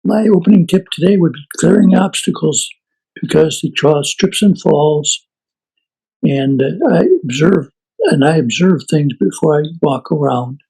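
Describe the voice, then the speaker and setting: A man in his seventies speaking hesitantly, with pauses, in a group talk. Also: fairly high pitch, creaky, warm tone, husky, low-quality recording. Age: 70s. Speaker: group participant.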